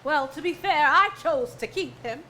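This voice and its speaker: A woman's voice: high voice, lively intonation, normal speed, loud music behind the voice. A woman acting a wry, earnest science-fiction heroine in a parody stage musical. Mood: comic. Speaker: musical theatre performer in a staged reading.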